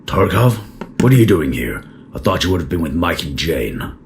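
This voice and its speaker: A man acting a stern space-military captain with an extremely deep, gravelly voice. He is guarded and official, in an audio drama with faint noise under the voice. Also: big pitch swings, moderate pace. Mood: stern, brusque.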